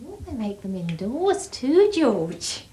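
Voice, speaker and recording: A woman's voice: a little high, very animated, slow, mellow. A woman playing a chatty, doubtful wife in an instructional film. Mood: curious. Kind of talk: scripted instructional film acting.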